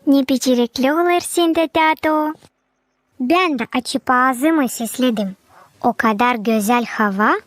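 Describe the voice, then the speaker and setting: A woman's voice: high voice, unhurried. A woman voicing an eager young animal child in a cartoon, chummy and enthusiastic. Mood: keen. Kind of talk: cartoon character acting.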